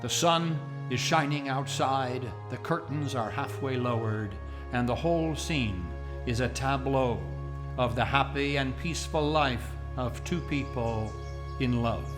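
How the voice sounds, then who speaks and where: A man's voice: mid-range voice, measured pace, music in the background, close to an everyday voice. A man narrating a radio drama from a script.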